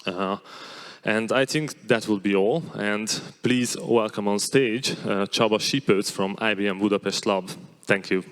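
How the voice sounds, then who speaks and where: A man with a Hungarian accent, a native Hungarian speaker, opening a meetup as host, nervous and self-deprecating, speaking haltingly. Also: lowish voice, steady tone. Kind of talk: event introduction.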